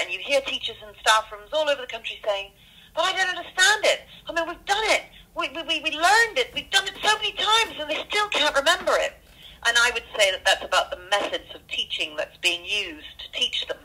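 A woman's voice: fairly high pitch, expressive, a little fast, pushed and bright, slightly rough. A woman who grew up in Canada, a school headmistress, talking earnestly in a phone interview with many ums, from a school office. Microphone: telephone. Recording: phone-line audio.

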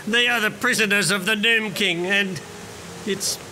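A man's voice: sits high, unhurried, background noise. An actor playing a despairing, guilt-ridden king in an audio drama, anguished and grandly dramatic. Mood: bitter despair. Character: despairing, guilt-ridden king who lost his family.

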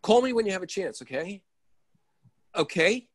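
A male actor performs an exasperated, fussy man in an absurdist stage play. His husky voice swings up and down as he repeats himself, halting and flustered. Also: sits high, animated, no echo, studio quality. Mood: chatty, fretful. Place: indoors on a video call.

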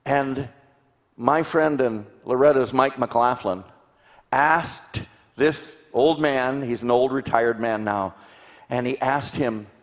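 A man in his sixties preaching a sermon to a congregation with emphatic delivery, rhetorical questions and deliberate pauses for stress. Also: medium pitch. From grew up in Tanzania. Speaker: guest preacher. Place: large church sanctuary, indoors. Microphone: handheld microphone. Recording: echoey church hall sound.

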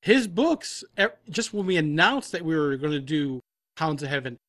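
Male podcast guest, fairly high voice, dry sound, elaborates and corrects himself in casual conversation. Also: very animated, normal speed, fairly bright tone, dry recording. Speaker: audio drama producer, podcast guest. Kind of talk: casual interview chat.

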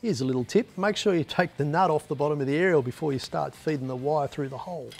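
An Australian man in his fifties walks through a hands-on job in a colloquial, matter-of-fact way. His voice sits slightly higher than most men's, and light music plays underneath. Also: fast, vocal fry, a little mellow. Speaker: presenter of DIY car videos. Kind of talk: casual how-to demonstration. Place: garage shed and inside a parked vehicle.

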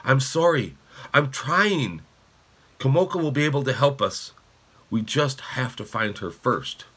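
A man's voice: mid-range voice, expressive, a little slow, fairly bright tone, breathy edge, pitched above the performer's own voice. A man reading the part of a grieving, desperate boy in a wartime storybook, urgent and pleading. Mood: pleading, troubled. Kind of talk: storybook character dialogue.

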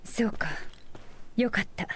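A woman's voice: a little slow, whispered, close to an everyday voice. An actress playing a young female leader who speaks in a firm, direct way. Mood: concerned.

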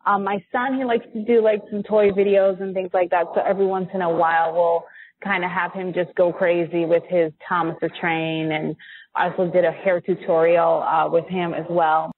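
A woman's voice: lowish voice, level tone, normal speed, a little hiss or hum. An American woman in her forties chatting casually as a guest in a podcast interview. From United States. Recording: thin, phone-like line.

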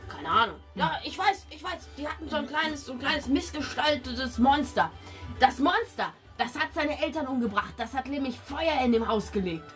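A woman voicing a gossipy, flattering village craftsman in a tabletop game, chatty and folksy. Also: high-pitched, normal speed, far from the mic, soft background music.

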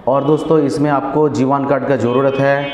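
Steady, flat-voiced man in an echoey office. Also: medium pitch, a little fast. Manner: steady, repetitive, with many "aa" fillers and restarts. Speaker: visa agent and channel host. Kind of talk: casual explainer to camera. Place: small office, indoors. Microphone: clip-on lapel mic. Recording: echoey office with faint room noise.

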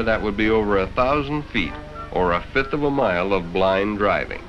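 An American man narrating a 1960s educational film from a script in a stern, lecturing, condescending manner. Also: on the low side. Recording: dull vintage film soundtrack with music.

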